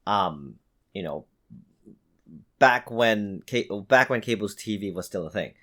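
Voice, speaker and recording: A man with a lively, swinging pitch argues his case in a casual podcast chat. He is emphatic and insistent, restarting and repeating phrases as he pushes his point. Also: a little slow. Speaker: podcast co-host.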